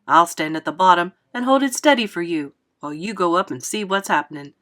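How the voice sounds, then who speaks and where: A woman voicing a gruff, suspicious male chief of police in a storybook, with an ominous manner. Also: fairly low pitch, expressive, a little fast, a bit bright.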